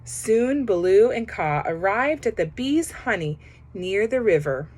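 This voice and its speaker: A woman reading a children's picture book aloud, pausing to comment on the pictures. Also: medium pitch, animated, a little mellow, slightly rough. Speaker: storybook reader.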